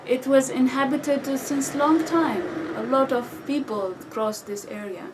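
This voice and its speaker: A Syrian woman in her thirties, a guest speaking hesitant with frequent restarts and fillers. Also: high-pitched. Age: 30s. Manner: halting non-native English with restarts and fillers. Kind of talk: conversational interview answers. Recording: distant mic with faint background noise.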